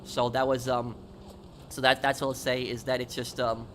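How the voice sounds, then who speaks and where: A man's voice: mid-range voice, level tone, a bit bright. Male streamer, noisy background. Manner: halting, repetitive, explanatory, emphatic. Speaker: livestreamer. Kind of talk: casual gaming stream chat, answering viewers.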